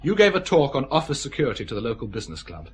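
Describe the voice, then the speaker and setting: An actor playing a genial, proud boss of a small firm, chatty and expansive in an audio drama. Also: male voice, medium pitch, big pitch swings, very fast, a little hiss or hum, lightly acted. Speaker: actor in a radio detective drama.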